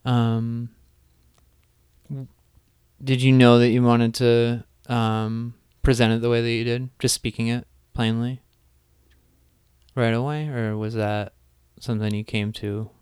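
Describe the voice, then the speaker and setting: Male podcast host, mid-pitched smooth voice, loose rambling questions. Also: little pitch movement, darkish tone, no echo, clean studio sound. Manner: rambling questions, quick affirmations. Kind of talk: casual interview chat.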